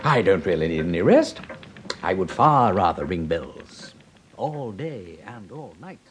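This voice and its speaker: An actor playing a genial, breezy aristocratic gentleman with an upper-class English accent in a radio drama, urbane, courteous and effusive. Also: low voice, sing-song, a little slow, slight background noise. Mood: cheerful, wry.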